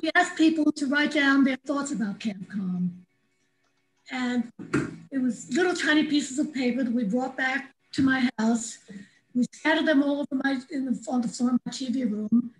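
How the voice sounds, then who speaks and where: An older woman with a gravelly voice, singing a folk song to her own guitar on a video call from home. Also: high-pitched, normal speed, reverberant, poor audio. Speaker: singer-songwriter. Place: home room, indoors.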